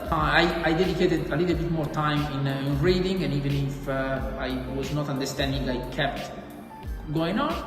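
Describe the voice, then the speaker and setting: A man's voice: on the high side, moderate pace. A man whose first language is not English, giving a recorded talk to a school assembly. He hesitates often, with many fillers and restarts. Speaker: school staff member. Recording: echoey hall with music playing behind.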